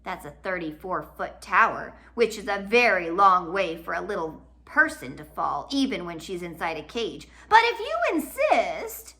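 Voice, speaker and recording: Storybook villain, played by a woman: a scheming man, animated swings in pitch, drawn-out taunts, false kindness. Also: full character voice. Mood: smug, taunting. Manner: mock-kindly, taunting, drawn-out delivery.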